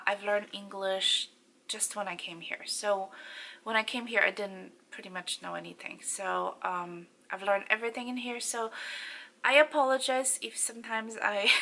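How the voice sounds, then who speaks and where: Middle-aged woman with a Polish accent, bright and gravelly, loose and chatty, laughs lightly between thoughts. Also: medium pitch, a little hiss or hum. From Poland. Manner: chatty, loose phrasing with many fillers. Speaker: vlogger. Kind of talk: casual Q&A to camera.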